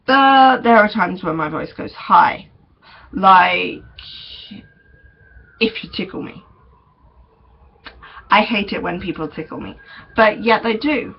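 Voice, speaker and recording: Young man, vlogger, unhurried and hesitant, gravelly edge, dull lo-fi recording. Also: high voice. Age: young adult. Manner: chatty, hesitant, many ums and small laughs. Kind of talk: casual vlog update. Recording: dull lo-fi recording with faint noise.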